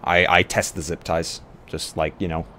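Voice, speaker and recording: A man with a low voice talks casually as a player in a tabletop roleplay game. He describes his character's moves in an offhand, conversational way, with some background noise on his microphone. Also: flat delivery, normal speed, pushed and bright.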